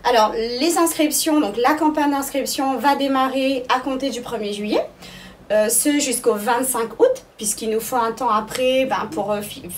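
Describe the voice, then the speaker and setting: A woman's voice: high-pitched, normal speed, recorded from a distance, slight background noise. A woman answering interview questions as the director of an arts school, explaining things phrase by phrase with hesitations.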